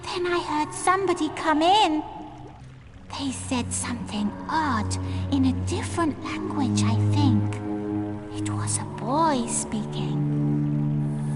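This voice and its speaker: A woman's voice: high voice, heavily acted. A woman playing a mournful teenage girl ghost in a video game, telling her story plaintively.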